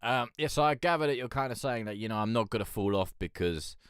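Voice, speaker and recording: A man with a deep voice hosting a music review show, talking frankly and loosely, swearing and rambling with lots of fillers. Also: lively intonation, quick pace, a bit bright, clean tone, no echo, studio quality. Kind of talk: casual chat reviewing submitted songs.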